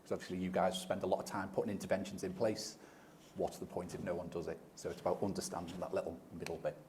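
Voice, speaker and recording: A man with a very low voice speaks as a panelist, explaining his ideas fluently and conversationally. A faint room ambience sits behind him. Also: little pitch movement, fast talker, noisy background. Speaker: panelist, behavioural scientist. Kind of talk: panel discussion.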